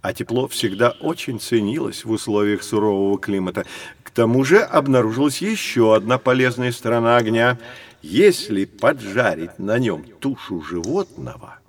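An actor playing a learned teacher who explains history to children in a storytelling manner, in an educational audio drama. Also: male voice, mid-range voice, breathy edge.

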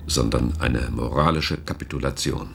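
An actor playing a commanding, world-weary head of internal security in a German radio drama, forceful and deliberate in argument. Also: male voice, rumbling low, vocal fry, slight background noise, full character voice. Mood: grave, confessional.

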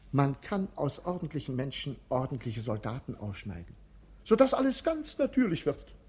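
A cheeky, punning servant. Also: male voice, fairly high pitch, darkish tone, breathy edge. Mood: playful. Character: witty, idle male servant and jester-like companion. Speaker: actor in a radio play. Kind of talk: 1950s radio play acting.

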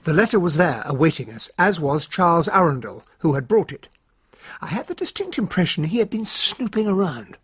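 An actor playing an earnest English gentleman, a detective's loyal sidekick, plain-spoken and husky, in a radio drama. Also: on the high side, sing-song, fairly quick, dry recording, heavily acted. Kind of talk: radio drama acting and narration.

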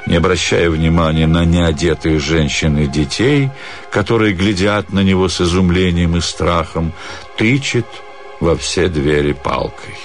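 A man reading a literary story theatrically, with expressive, measured phrasing and dramatic pauses. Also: sits low. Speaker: actor reading a short story. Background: music.

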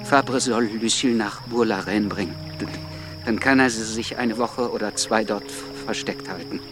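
Actor as a stammering, impulsive revolutionary. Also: male voice, on the low side, normal speed, over music, lightly acted. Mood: resolute. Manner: stammers on first sounds. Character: stammering, impulsive, defiant male revolutionary orator. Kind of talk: German radio drama acting.

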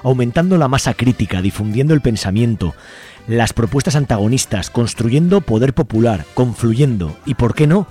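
A man's voice: mid-range voice, expressive, moderate pace, dark tone. A man with an accent from Spain hosting a community radio show, reading partly from a script. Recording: music behind the voice. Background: music.

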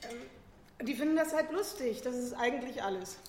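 A woman's voice: high voice, lively intonation, moderate pace, darkish tone. A woman playing a critical, dismissive young student in a radio drama. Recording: echoey hall, faint noise.

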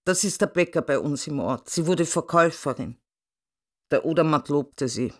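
Man playing village storyteller, radio play, raised pitch, gravelly creak, plain short phrases. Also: normal speed, lightly acted. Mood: plain, narrating. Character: plain-spoken male villager telling a local story. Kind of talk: narrative storytelling in radio drama.

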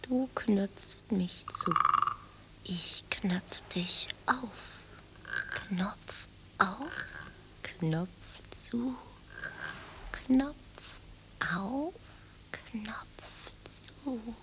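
A woman playing a dreamy, flirtatious, devoted island woman with a breathy voice in a German radio play. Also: medium pitch, very slow, long pauses, in a whisper. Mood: tender, amorous.

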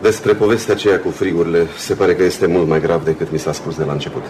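An actor playing a concerned, courteous ship's captain in a Romanian radio play, measured and formal. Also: male voice, low-pitched, fairly even intonation, background noise, lightly acted. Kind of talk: Romanian radio theatre acting.

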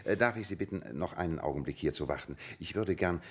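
A man's voice: low voice, fast, slightly rough. A man playing a shrewd, self-assured detective with playful irony in a German radio play. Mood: brisk, courteous. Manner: theatrical, teasing, confident.

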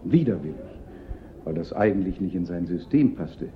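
Wry former prefect, radio play. Swooping delivery, narrow tinny sound with background hiss. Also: male voice, sits low, animated, moderate pace. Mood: wry, consoling. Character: urbane, wry male former police prefect. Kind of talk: German radio play acting. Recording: thin phone-like band, noisy radio-play recording.

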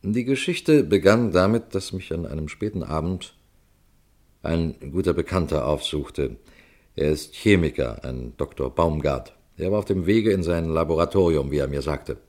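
An actor playing a middle-aged police detective who tells the audience about a case in an old radio crime drama, in a measured, explanatory way. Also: male voice, a bass voice, a little mellow. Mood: explaining. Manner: measured, addresses audience directly. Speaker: narrator of the case.

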